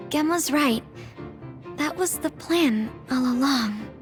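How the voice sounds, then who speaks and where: Sweet high voiced game girl, caring n hopeful, music behind her. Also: slow. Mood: hopeful, determined. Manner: earnest, encouraging. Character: caring, hopeful young woman teammate. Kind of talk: English video game voice acting.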